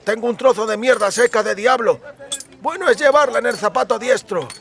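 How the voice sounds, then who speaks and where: A man's voice: extremely high pitch, brisk, vocal fry, a bit bright, voice pushed up, heavily acted. A man voicing an eager, chatty amulet merchant with effusive, wheedling sales patter in an audiobook. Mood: eager, confiding. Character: eager, chatty male amulet merchant peddling quack remedies. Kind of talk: audiobook character acting.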